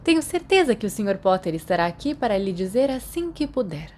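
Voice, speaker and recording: A woman voicing a kindly school matron, motherly and gently scolding, in a story. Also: medium pitch, sing-song, a little fast, a little hiss or hum, close to an everyday voice. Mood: fussing. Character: kindly, fussing school matron, a witch healer.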